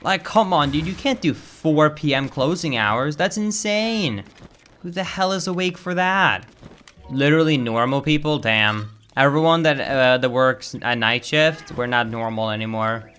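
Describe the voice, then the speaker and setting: A young man with a Swedish accent, chatting casually and reacting loudly while he streams a game. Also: on the high side, measured pace, clean tone. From Sweden. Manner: casual, chatty, swears and flares up over game lag. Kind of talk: live-stream gameplay commentary.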